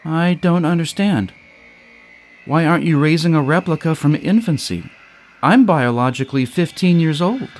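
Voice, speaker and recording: A man's voice: fairly high pitch, moderate pace, darkish tone, voice pushed up, natural voice. A man voicing a polite, puzzled fifteen-year-old cloned girl in an audio story.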